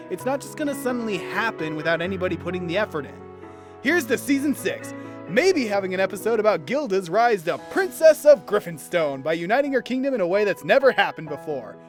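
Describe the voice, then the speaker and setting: A man giving an enthusiastic, animated scripted review as a cartoon episode commentator, with instrumental music behind him. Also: sits high. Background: instrumental background music.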